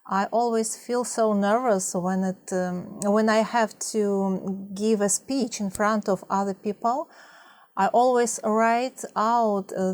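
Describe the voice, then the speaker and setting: A woman from Russia speaks English with a Russian accent, her voice at a middle pitch. She talks softly and haltingly to camera, pausing often on fillers as she searches for words. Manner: soft-spoken, hesitant, many fillers. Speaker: language-learning vlogger. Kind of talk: partly scripted talk to camera.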